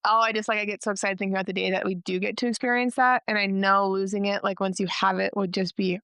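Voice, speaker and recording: Chatty young woman with gravelly fry. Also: medium pitch, little pitch movement, sharp tone, no room sound. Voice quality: nasal. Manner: chatty, heavy use of "like", quick asides. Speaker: podcast host. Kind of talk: casual chat.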